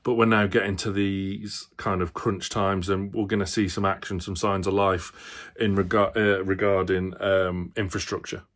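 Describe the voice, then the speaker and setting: A man with a fairly low voice gives casual, unscripted football commentary. He talks to viewers as a fan channel presenter, hesitating and hedging as he thinks aloud. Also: level tone, normal speed, a bit bright.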